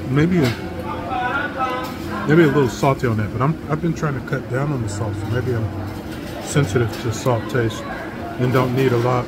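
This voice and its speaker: A middle-aged man reviews food casually into a phone held close to his face. His medium-pitched voice comes in halting phrases that trail off. Loud music and a busy restaurant crowd fill the space behind him. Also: expressive. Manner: halting, trailing off while tasting. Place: indoor restaurant.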